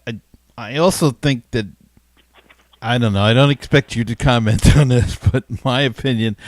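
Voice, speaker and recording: A man's voice: on the low side, dry recording. A man hosting a casual sports podcast interview, offering his own opinions as he thinks aloud. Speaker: podcast host.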